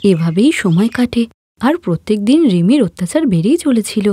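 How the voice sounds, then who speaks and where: Expressive woman storyteller, close and dry. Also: medium pitch, a little fast, husky, no room sound. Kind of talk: scripted story narration.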